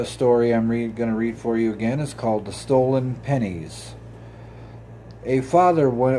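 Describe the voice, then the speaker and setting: A man hosting an informal storytelling show and reading aloud, in a halting way that stumbles over words. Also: lowish voice, mellow, slight background noise. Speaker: host and story reader.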